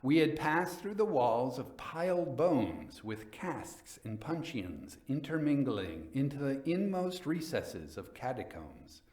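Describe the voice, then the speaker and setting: A man reading literary fiction as a dramatic storyteller, in a measured, theatrical manner. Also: mid-range voice, sing-song, darkish tone, roomy sound.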